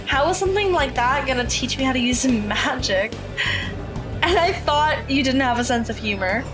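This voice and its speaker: A bright, high-voiced teenage girl who is just learning magic, voiced by a woman, asking questions in an earnest, curious way. She sounds like an audio drama character, with music playing behind her. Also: animated, normal speed. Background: background music.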